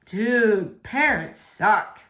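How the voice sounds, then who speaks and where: A woman's voice: mid-range voice, expressive, drawn-out words, a bit bright. A woman reading the part of a brash teenage boy, a blunt and slangy best friend. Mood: blunt, curious.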